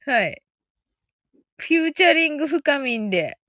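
A woman's voice: high voice, sing-song, normal speed, fairly bright tone, no echo, clean studio sound. A woman hosting a casual live audio stream, chatting playfully with listeners, giggly and often repeating phrases with amusement. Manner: giggly, breaks into frequent laughter.

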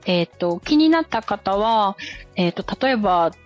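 A woman's voice: mid-range voice, animated, measured pace, faint music behind. A woman assisting on a podcast as a learner, responding politely and asking questions a little hesitantly. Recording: dull lo-fi sound.

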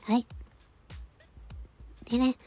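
A woman with a nasal, stuffy, cute anime-like voice chats casually and politely to listeners in short, halting phrases. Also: faint music behind. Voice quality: cute, cartoon-like voice. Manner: polite, giggly, halting phrases ending in a soft filler. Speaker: amateur voice actor hosting a personal audio channel.

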